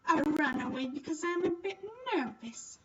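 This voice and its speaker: Lady doing a chatty rich little girl, friendly n eager, high voice, story game, bit muffled. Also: normal speed, far from the mic, very noisy. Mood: nervous. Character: cheery, chatty young noble girl. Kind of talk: visual novel character reading.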